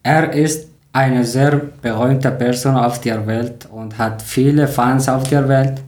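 Mann aus Afghanistan als Podcast-Moderator, vorsichtiges Lerner-Deutsch. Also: male voice, medium pitch, steady tone, a little mellow, echoey room. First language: not German. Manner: careful, learner grammar, slightly halting. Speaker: podcast host. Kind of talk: reading prepared talk in learner German.

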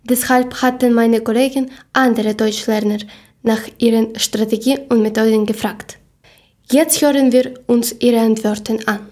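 A woman's voice: fairly high pitch, steady tone, moderate pace, a little mellow. A woman from Ukraine, hosting a podcast and carefully reading her lines from a script. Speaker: podcast host.

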